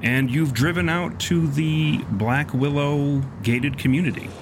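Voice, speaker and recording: A man improvising narration as game master of a tabletop horror game, with measured, wordy, formal phrasing. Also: fairly high pitch, gravelly, background noise.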